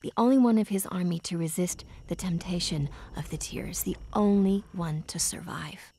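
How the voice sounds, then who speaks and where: A woman's voice: fairly low pitch, normal speed, mellow, slight background noise, close to an everyday voice. A woman playing a serious young rebel in a fantasy video game cutscene. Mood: grave.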